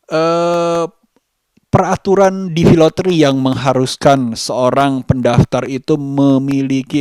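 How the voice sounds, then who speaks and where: Jakarta guy vlogging to camera, slow n halting, mid pitch, really dry close sound. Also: a little mellow, no room sound. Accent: Jakarta Indonesian. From Indonesia. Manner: halting, hesitant, with long pauses between phrases. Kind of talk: personal vlog storytelling to camera.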